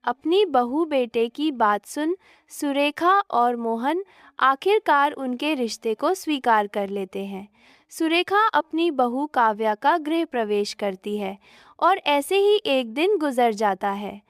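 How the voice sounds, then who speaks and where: A woman's voice: high-pitched, animated, slow pace, no room sound, clean studio sound. A woman narrator reading a scripted story.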